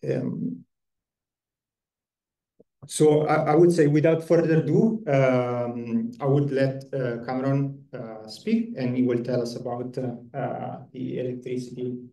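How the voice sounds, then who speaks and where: A man hosting a seminar and introducing the guest speaker, halting and full of uhs. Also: mid-range voice, little pitch movement, dark tone. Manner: hesitant, many uhs. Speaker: seminar host. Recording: echoey room, distant from the mic.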